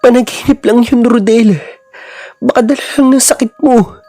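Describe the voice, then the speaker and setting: A fiercely protective young mother in a horror storybook, her voice lifted well above a man's usual range, as a male narrator puts her on. She speaks in a tender, pleading way, with soft music faint underneath. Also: very high voice, steady tone, moderate pace, no echo, voice pushed up. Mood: alarmed.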